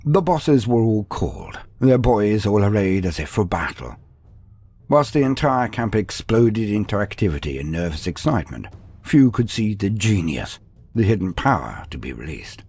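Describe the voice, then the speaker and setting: A man reading scripted lore as a theatrical storyteller, with dramatic pauses and asides. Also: lowish voice, big pitch swings, darkish tone, slightly rough. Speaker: narrator of a lore guide.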